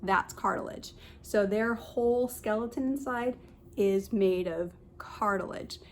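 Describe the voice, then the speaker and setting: A woman reads a picture book aloud in the manner of a kindergarten teacher, at a middle pitch. She breaks off often to explain things and point them out in simple words for small children. There is some noise behind her voice in what sounds like a homemade recording. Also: measured pace.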